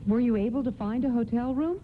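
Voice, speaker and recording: An actress in a radio play playing a polite, gracious and gently anxious mother of young children. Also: fairly high pitch, a little fast, natural voice. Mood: grateful, concerned. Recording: lo-fi, tinny, noisy radio broadcast.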